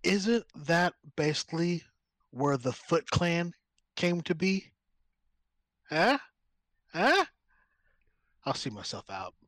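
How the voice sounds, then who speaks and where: A man's voice: fairly high pitch, sing-song, slow, long pauses, fairly bright tone, dry recording. A man co-hosting a casual comedy podcast, playful, laughing easily and sliding into mock rants. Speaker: podcast co-host.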